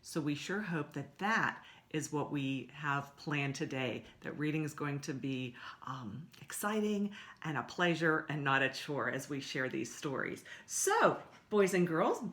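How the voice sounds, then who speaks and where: A woman's voice: low voice, sing-song, a little slow, hardly any pauses, fairly bright tone. A woman leading a story time for young children, speaking in simple, encouraging words as she explains songs, stories and activities. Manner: simple, encouraging address to small children with repetition.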